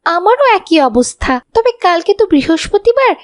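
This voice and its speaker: Woman doing a super high girly schoolgirl for a cartoon, chatty n earnest, dry sound. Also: big pitch swings, normal speed, no echo. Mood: friendly chatty. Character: chatty, friendly, pious schoolgirl. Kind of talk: cartoon dialogue.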